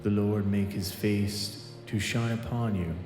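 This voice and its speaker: Man playing a slow, solemn church minister. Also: on the low side, steady tone, echoey room, big-room sound, music in the background, natural voice. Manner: slow, intoning. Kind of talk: audio storybook character reading.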